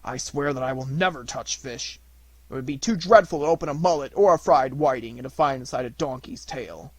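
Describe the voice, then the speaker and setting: A man's voice: medium pitch, fairly quick, natural voice, low-quality recording. A man voicing an indignant, blustering buyer who feels cheated, in a storybook reading. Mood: horrified. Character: gruff, indignant man cheated out of his money.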